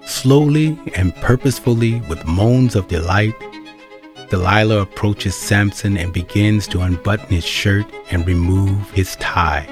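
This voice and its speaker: A man's voice: lowish voice, a little slow. A man reading scripted narration for an audio drama, with string music behind him.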